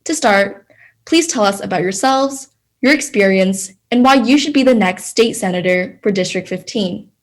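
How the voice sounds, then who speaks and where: A woman's voice: medium pitch, moderate pace. A young woman moderates a candidate forum in a mid-range voice. She reads prepared questions and ground rules formally and politely hands over to each speaker.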